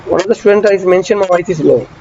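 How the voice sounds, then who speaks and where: High-voiced man lecturing, muffled with traffic. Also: creaky, dark tone. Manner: repeats key phrases slowly, drilling students; asks students frequent check questions. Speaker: engineering lecturer. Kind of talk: online class lecture. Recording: dull muffled audio with traffic noise behind.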